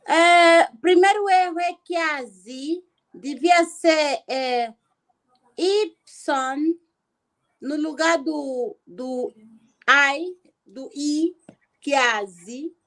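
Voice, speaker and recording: A woman's voice: high-pitched, drawn-out words, fairly bright tone. A woman student in an informal lesson, answering hesitantly and thinking aloud.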